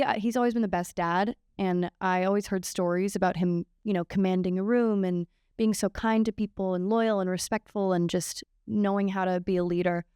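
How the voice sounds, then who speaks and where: A young woman in her early twenties answering on a live panel, earnest and halting, with many pauses and fillers. Her voice is smooth and a bit low, dry and close on the mic. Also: darkish tone, dry recording. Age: early 20s. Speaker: actor on a panel. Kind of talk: casual panel Q&A talk.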